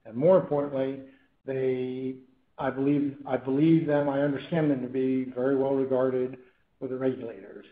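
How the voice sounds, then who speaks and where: Echoey American executive on a tinny phone line. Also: male voice, mid-range voice, steady tone. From New England, United States. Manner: halting, self-correcting, with repeated restarts. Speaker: company chief executive. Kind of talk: unscripted earnings call commentary.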